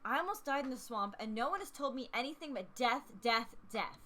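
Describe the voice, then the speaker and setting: A woman's voice: on the high side, animated, fairly quick, sharp tone. A young woman in casual, playful let's play chat, also reading a game character's lines aloud.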